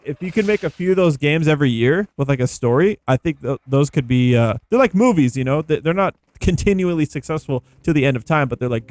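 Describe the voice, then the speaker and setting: A man hosting a gaming video with casual, rambling commentary, playful and full of informal slang. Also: fairly high pitch. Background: background music.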